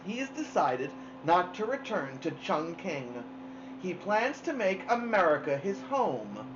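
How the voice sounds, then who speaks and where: A woman's voice: on the low side, big pitch swings, background noise. Woman as a solemn old storybook matriarch. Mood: firm, explaining. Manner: grave, deliberate. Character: stern, solemn elderly Chinese grandmother, family matriarch. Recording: dull lo-fi sound, music behind.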